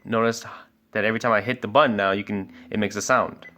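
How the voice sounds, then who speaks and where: A man's voice: on the low side, steady tone, quick pace, sharp tone. A man giving a casual product review and walkthrough as a sales expert, explaining things informally step by step.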